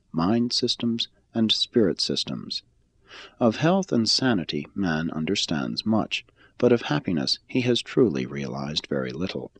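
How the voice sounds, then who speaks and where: A man reading a religious text aloud as scripted narration, in a measured, formal and solemn manner with deliberate phrasing. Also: lowish voice, husky.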